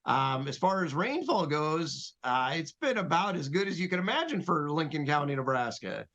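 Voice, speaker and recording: A man's voice: fairly high pitch, big pitch swings, moderate pace, fairly bright tone. A man talking casually as the interviewer on a farm show.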